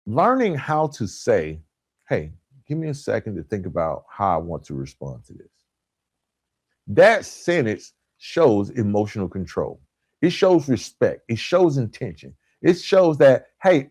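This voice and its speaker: A man delivering a motivational self-help podcast talk as a coach, with emphatic, deliberate phrasing and frequent check-in tag questions. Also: medium pitch, a little mellow, no room sound, studio quality. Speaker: podcast host and relationship coach.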